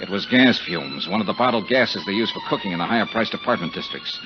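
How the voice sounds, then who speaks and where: An actor playing a tough, wisecracking investigator in 1950s radio detective drama, blunt and sardonic, with a husky voice. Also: male voice, on the low side, lively intonation, fast, background noise, lightly acted. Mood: tense narration. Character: tough, brash, wisecracking American male investigator. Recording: dull 1950s radio broadcast recording.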